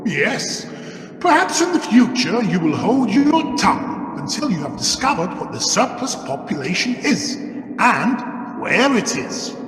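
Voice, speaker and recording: A man playing a stern, reproachful Christmas spirit in a radio play, speaking gravely and declaiming. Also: high voice, sing-song, measured pace, a bit bright, heavily acted. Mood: scornful. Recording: long echoing reverb, faint music behind.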